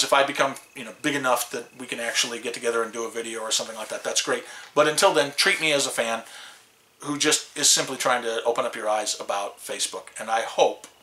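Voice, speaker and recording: A man's voice: medium pitch, pushed and bright, echoey room, poor audio. A man in his fifties with a Chicago accent, vlogging to camera in a small room, rambling with many restarts and fillers. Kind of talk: casual talk to camera.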